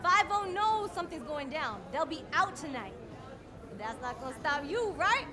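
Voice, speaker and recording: A brash female street race organizer from a game, swaggering as she works the crowd. Her very high voice swings animatedly over a loud cheering crowd. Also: big pitch swings, moderate pace. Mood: hyped up. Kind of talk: video game voice acting.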